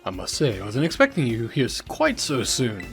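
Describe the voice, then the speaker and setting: A man's voice: medium pitch, wildly swooping pitch, normal speed, soft background music. A man playing a polite, formal school director in scripted game dialogue, courteous and proper. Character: polite, formal adult male academy director.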